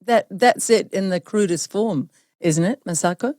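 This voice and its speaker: A woman's voice: medium pitch, moderate pace, warm tone, no room sound, clean studio sound. A woman from New hosting an interview, speaking emphatically and indignantly. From New Zealand. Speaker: host. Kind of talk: opinionated interview talk.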